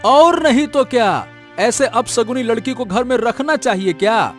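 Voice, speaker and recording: High-pitched excitable village farmer played by a man. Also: unhurried, faint music behind, full character voice. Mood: angry, scolding. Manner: flustered, pleading or scolding. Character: naive, nervous village farmer father, quick to scold. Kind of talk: Hindi cartoon voice acting.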